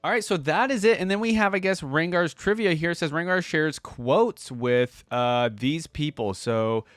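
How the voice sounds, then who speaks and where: A man's voice: on the high side, moderate pace, a bit bright, no echo, studio quality, soft background music. A young man giving casual, slangy reaction commentary, musing aloud as he goes. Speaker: reaction video host.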